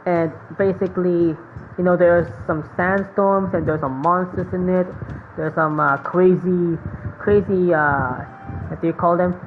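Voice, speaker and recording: A woman with a Filipino accent giving casual, rambling game commentary, halting and repeating phrases. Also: lowish voice. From Philippines. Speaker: game streamer. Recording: phone-like narrow sound, slight noise.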